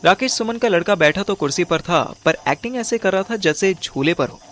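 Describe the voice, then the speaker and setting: A man's voice: sits high, expressive, brisk, a bit bright. A man narrating a dramatised audio story in the first person, with music behind him. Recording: music under the voice. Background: background music.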